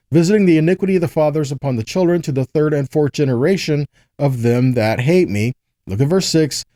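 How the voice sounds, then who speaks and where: A man's voice: on the high side, mellow, no room sound, studio quality. A man giving a conversational Bible teaching, reading verses aloud and explaining them earnestly, often checking in with short rhetorical questions.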